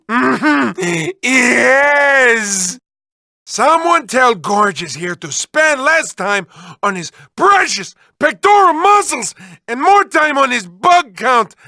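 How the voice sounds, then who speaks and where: A man's voice: very high voice, creaky, pushed and bright, no echo, studio quality, full character voice. A man voicing a manic, lovelorn, whiny mad-scientist villain in a video game, with theatrical, exaggerated delivery that swings between cooing and wailing. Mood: flustered, sarcastic. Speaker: video game villain reading developer messages. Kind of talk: exaggerated comic cartoon voice acting.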